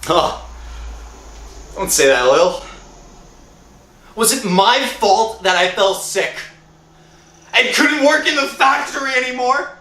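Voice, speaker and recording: An actor playing a desperate, haunted unemployed husband in an emotive 1960s radio horror drama style. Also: male voice, extremely high pitch, lively intonation, slow pace, pauses often, sharp tone, heavily acted. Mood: pleading, bitter. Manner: melodramatic, emotive, swinging from murmur to outcry. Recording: roomy old-time radio recording with music.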